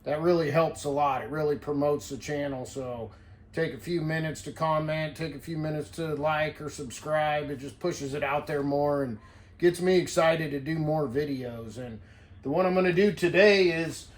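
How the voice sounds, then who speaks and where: Higher-pitched man chatting on a vlog. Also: normal speed. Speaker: CB radio hobbyist video host. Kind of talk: casual vlog chat.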